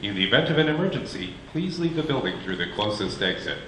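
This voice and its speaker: A man's voice: fairly low pitch, very animated, quick pace, sharp tone, a little hiss or hum. A man making a plain, informative announcement before a theater performance. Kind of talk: pre-show announcement. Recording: echoey theater with faint ambience.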